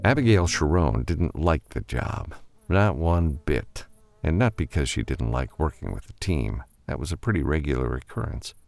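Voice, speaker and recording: A man's voice: extremely low pitch, big pitch swings, moderate pace, vocal fry, a little mellow, dry recording, faint music behind. A man reading scripted fiction as an audiobook narrator.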